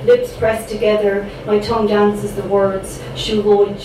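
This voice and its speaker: A woman's voice: mid-range voice, little pitch movement, measured pace. Irish woman performing spoken word poetry. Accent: Irish, Cork. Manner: rhythmic, dramatic delivery with chatty asides between poems. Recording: echoey room picked up from a distance, background noise.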